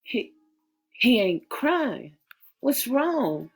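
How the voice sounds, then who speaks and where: A woman's voice: on the high side, sing-song, very slow, husky, pitched above the performer's own voice, full character voice. A woman voicing a frantic young mother in labor, crying out in pain and panic in a Southern dialect. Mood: panicked.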